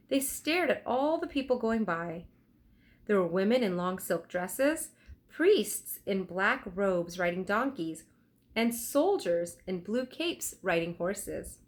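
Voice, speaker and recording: Expressive woman reading a children's story. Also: mid-range voice. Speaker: storybook reader.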